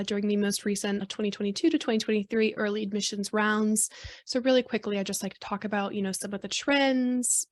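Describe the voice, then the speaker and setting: A woman hosting a podcast, reading a scripted intro and then chatting with her guests. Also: mid-range voice. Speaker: podcast host. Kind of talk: podcast interview.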